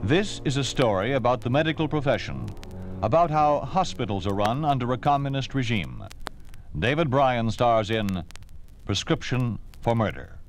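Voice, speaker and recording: A man's voice: mid-range voice, expressive, normal speed, music in the background, lightly acted. An American man acting as a solemn announcer in a 1950s radio drama, on an old recording.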